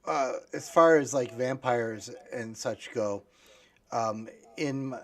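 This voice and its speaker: A man's voice: mid-range voice, slow, fairly bright tone. A man, an author, talking in a casual discussion, hesitant and thinking aloud as he goes.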